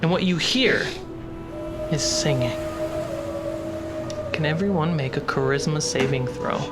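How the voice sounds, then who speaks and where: Game master narrating a tabletop rpg, takes it slow w lots of pauses, music behind. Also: medium pitch. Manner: measured, pausing scene narration. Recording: background music under the voice.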